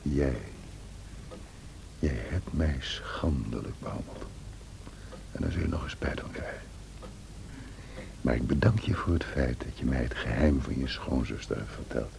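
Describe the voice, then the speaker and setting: A man's voice: rumbling low, unhurried, creaky, long pauses, full character voice. An actor playing a brooding, vengeful man in a radio drama, menacing and contemptuous in his delivery. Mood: bitter, threatening. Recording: noisy old radio drama recording.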